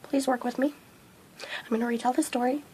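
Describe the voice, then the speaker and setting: Young woman with a hoarse cold voice, kinda high, rambling casual vlog chat. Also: fairly even intonation, a little fast, dark tone, slight background noise. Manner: rambling, frustrated, lots of fillers. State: hoarse, losing her voice from a cold. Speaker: vlogger. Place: indoors at home under a hot light.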